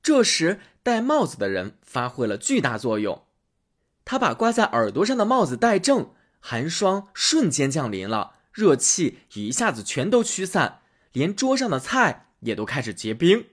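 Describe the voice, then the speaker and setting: Man, storyteller, fairy tale read-aloud, wide swinging pitch, husky. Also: moderate pace. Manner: lively, expressive narration.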